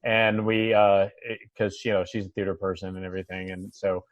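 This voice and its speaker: A man's voice: sits low, level tone, a little fast, dry recording, dull audio. A man chatting casually and agreeably on a video call, restarting his sentences as he goes. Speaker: actor in a table read. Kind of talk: casual chat.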